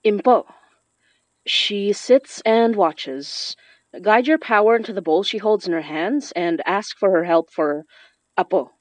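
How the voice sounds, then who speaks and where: Determined young female occultist acted by a woman, medium pitch, casual delivery, dry podcast drama sound. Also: measured pace, no echo, natural voice. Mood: focused. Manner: casual, instructive, self-possessed. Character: determined, informal young woman who summons spirits. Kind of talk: horror audio drama acting. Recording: dry, slightly dull studio sound.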